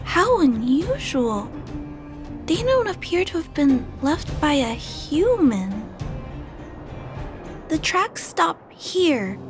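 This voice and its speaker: A tiny sweet careful kid from a video game, really high n unhurried, earnest, music behind. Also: female voice, heavily acted. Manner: gentle, careful, thoughtful. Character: earnest, polite young girl helping investigate a case. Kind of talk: animated video game voice acting.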